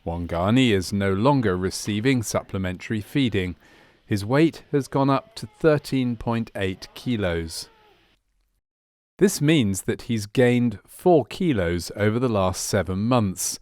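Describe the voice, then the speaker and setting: A man reading scripted narration for a medical training film in a measured, clinical way. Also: fairly low pitch, lively intonation, a little mellow, dry recording.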